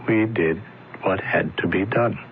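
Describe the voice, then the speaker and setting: An actor playing a calm, solemn male alien envoy in a radio drama, speaking slowly and formally. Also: deep voice, a little hiss or hum. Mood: explaining. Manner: slow, measured, formal. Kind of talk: 1970s radio drama acting. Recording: dull lo-fi old radio broadcast.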